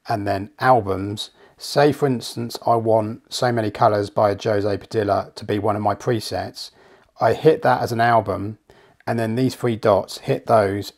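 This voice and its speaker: A man with a croaky, flu-worn voice gives a chatty, steady step-by-step walkthrough as a hifi reviewer. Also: fairly low pitch. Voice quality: gravelly. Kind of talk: screen walkthrough tutorial. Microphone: voice-over.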